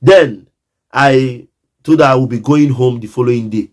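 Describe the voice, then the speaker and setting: A man's voice: mid-range voice, normal speed, darkish tone. A Nigerian man telling a personal story in a casual monologue, emphatic and repetitive, often speaking straight to the listener.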